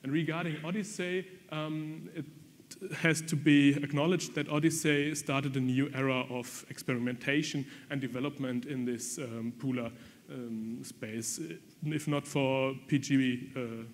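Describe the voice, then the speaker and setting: Male conference speaker, mid pitch, frank and um-heavy delivery, hall reverb behind him. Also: fairly even intonation, unhurried. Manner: frank, many ums and restarts. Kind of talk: technical conference presentation. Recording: long reverb from lecture hall.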